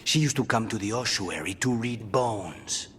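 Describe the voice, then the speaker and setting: An actor playing a grim, vengeful assassin in a video game, speaking tersely and intensely. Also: male voice, on the low side, lively intonation, normal speed, slight background noise. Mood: intense, focused. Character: grim, vengeful male assassin, quietly menacing. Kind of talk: dramatic video game acting. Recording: game cutscene with loud background music.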